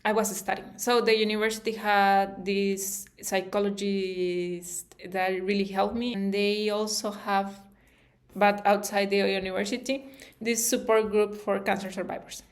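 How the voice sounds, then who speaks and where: A woman with a Costa Rican Spanish accent and a mid-pitched voice talks informally to her viewers. She is earnest, and her English phrasing is plainly that of a non-native speaker. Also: little pitch movement, unhurried. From Costa Rica. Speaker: YouTube channel host and interviewer. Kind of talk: informal personal talk to camera.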